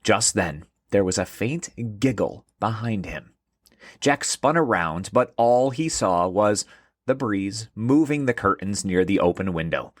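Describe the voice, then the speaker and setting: A male narrator reads a scripted story for children with expressive, swooping delivery. His voice sits a little low and has a husky grain. The sound is very dry, with no room around it. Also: a little slow, dry recording. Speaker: host and narrator.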